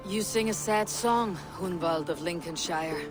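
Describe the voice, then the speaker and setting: A woman playing a stern, confident Viking chieftain in a video game, speaking in a measured, commanding way. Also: lowish voice, music in the background, close to an everyday voice. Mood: measured, probing. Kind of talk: dramatic video game acting.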